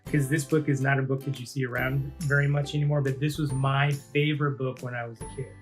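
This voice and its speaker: A man, a teacher, reading a children's picture book aloud in an informal, expressive way with casual asides. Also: medium pitch, a little fast, faint music behind.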